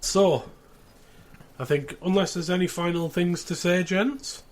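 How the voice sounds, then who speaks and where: A man's voice: high-pitched, a little slow, slight background noise. A man hosting a podcast in casual, conversational discussion, reviewing as he goes. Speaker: podcast host.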